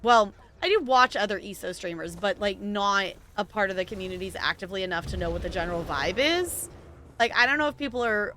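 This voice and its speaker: A woman's voice: mid-range voice, pushed and bright. A woman streaming a game, talking casually and rambling with lots of fillers and small laughs. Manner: rambling, chatty, frequent "like" and laughs. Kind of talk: casual gaming stream chat.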